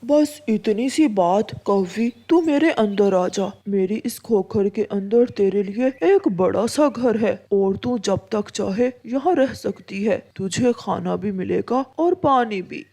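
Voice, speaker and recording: A woman voicing a kindly old tree in a cartoon story, gentle and reassuring. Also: mid-range voice, expressive, slow pace, few pauses.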